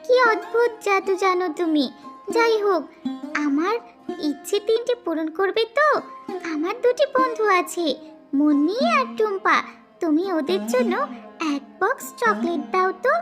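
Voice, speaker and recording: A woman's voice: very high-pitched, expressive, unhurried, over music. A woman voicing an eager, innocent little fox girl in a children's cartoon, polite and childlike in manner. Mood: eager, curious. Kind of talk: children's animated fable dialogue.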